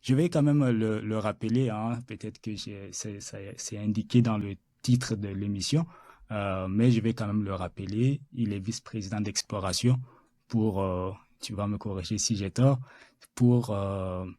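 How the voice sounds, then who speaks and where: A male podcast host with a lowish, mellow voice who feels his way through each question, filling pauses with hesitant sounds and restarting his sentences. The sound is dry and close, with no room around it. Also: fairly even intonation, dry recording. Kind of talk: interview.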